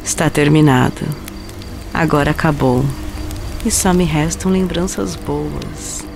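A woman playing a macabre killer who recounts her crime in a deliberate, intimate way, in an audio horror drama. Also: sits low. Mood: wistful, resolute. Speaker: voice actor narrating an audio drama. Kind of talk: dramatized first-person horror story narration. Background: background music.

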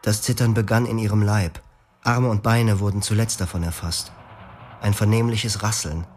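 An actor in his twenties playing a brooding young husband who narrates calmly and reflectively, in a radio play with music underneath. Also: on the low side, moderate pace, a little hiss or hum, natural voice. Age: 20s. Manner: calm, reflective, poetic narration.

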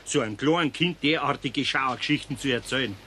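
Older guy doing grumbly bavarian master carpenter, bright voice, dry and kind. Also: medium pitch, a little fast, slight background noise, lightly acted. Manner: grumbling, dry, dialect-tinged. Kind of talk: radio play acting.

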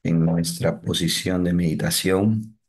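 A man leading a guided morning meditation, giving calm, repetitive breathing instructions with long pauses. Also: low voice, husky.

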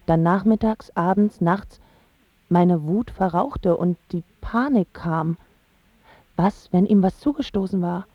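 A woman's voice: fairly low pitch, takes it slowly, dry recording, highs cut off. An actress playing a lovesick, bewildered young woman who narrates her story plaintively and emphatically in a radio drama. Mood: anxious.

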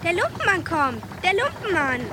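Caring, high-voiced village girl. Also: sing-song, normal speed, noisy background. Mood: calling out. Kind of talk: German radio drama acting. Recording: studio radio drama with music.

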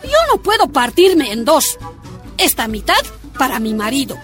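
An actress playing a principled Bolivian wife and union activist in a radio drama, emotional and imploring. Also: female voice, high voice, sing-song, moderate pace, a bit bright, soft background music. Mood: hurt, pleading.

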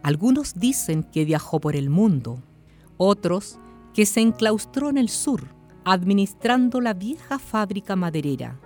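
A woman's voice: medium pitch, very animated, measured pace, a little mellow. A woman narrating a radio drama in a scripted storytelling style. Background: music.

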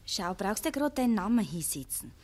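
A pert, scheming Bavarian maid. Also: female voice, mid-range voice, very animated, brisk, a little mellow. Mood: pressing, cajoling. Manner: pert, insistent. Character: scheming, headstrong young farm maid. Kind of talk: 1950s dialect radio drama acting.

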